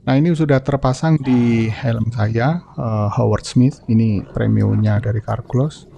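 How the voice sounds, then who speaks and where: An older man giving a relaxed, chatty product review, full of fillers and restarts. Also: medium pitch, unhurried, gravelly, slight background noise. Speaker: motorcycle gear reviewer.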